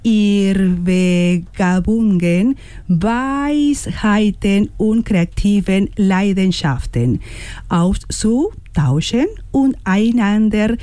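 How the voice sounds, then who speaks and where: A woman presents a radio programme in a slow, measured delivery. Her tone is mellow and her manner encouraging. The recording is close and dry. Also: mid-range voice, expressive, no echo. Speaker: radio host and workshop leader. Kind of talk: partly scripted radio presentation with informal questions.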